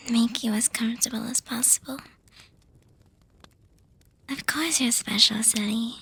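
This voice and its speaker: A very breathy whisper from a woman playing an affectionate young vampire girlfriend in an ASMR roleplay. She speaks extremely slowly and softly, with an even, narrow melody, hesitating with little hums as she gently reassures and teases. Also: on the high side, dry recording, exaggerated voice. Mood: affectionate, reassuring.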